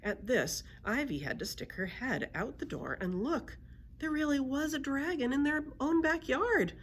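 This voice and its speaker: A woman reading a children's book aloud as a library storyteller, in an expressive storytelling manner. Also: sits high, measured pace, slight background noise.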